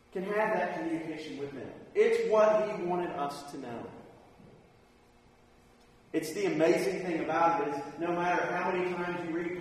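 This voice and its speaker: Male preacher in a very echoey hall. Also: sits high. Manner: plain-spoken, conversational, informal. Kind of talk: sermon with personal anecdotes. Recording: echoey hall, distant mic, faint noise.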